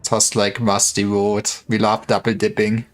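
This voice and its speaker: A man's voice: lowish voice, level tone, a little slow. Rambling, stammering man chatting on stream. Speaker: livestreamer. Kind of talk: casual gaming livestream chat.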